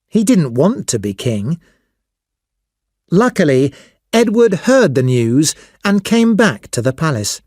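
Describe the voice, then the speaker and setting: A man's voice: fairly high pitch, sing-song, takes it slowly, pauses often, darkish tone, no echo, studio quality. A man reading scripted material for language learners, enunciating carefully as a narrator. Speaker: audio lesson narrator.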